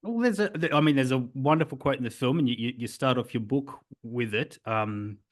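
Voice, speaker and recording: A man hosts a podcast interview, sounding enthusiastic and admiring as he introduces and questions his guest. His voice is a man's ordinary middle pitch. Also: a little fast. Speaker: podcast host.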